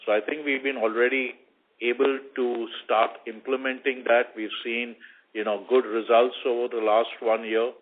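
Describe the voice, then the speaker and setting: A man speaking as a bank executive on an earnings call, explaining business in a measured corporate way. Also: medium pitch. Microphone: conference call line. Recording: narrow tinny conference phone line.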